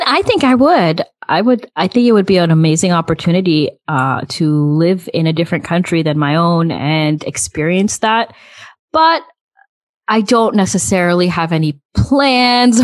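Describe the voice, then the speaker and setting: A woman's voice: on the low side, no echo. Chatty woman podcast co-host, dry sound. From United States. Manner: animated, chatty, laughs often. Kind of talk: casual chat.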